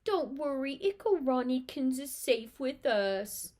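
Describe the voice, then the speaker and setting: A cheeky, excitable teenage boy, one of a pair of twins, as a woman reads him aloud. He exclaims loudly and teases with mock surprise, jumping up high as he goes. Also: slow pace. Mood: gleeful cheek.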